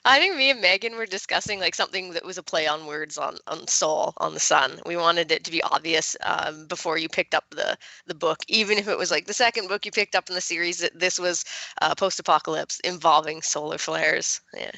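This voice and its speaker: A woman's voice: lowish voice, fairly quick, gravelly, sharp tone, no room sound, poor audio. A woman from Canada chatting casually as a guest author about her book.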